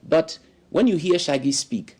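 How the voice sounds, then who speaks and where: A man's voice: on the high side, unhurried, breathy edge. A man from Ghana, a guest in a studio interview, telling long stories in an expansive way full of "you know"s. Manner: expansive, animated storytelling with many "you know"s.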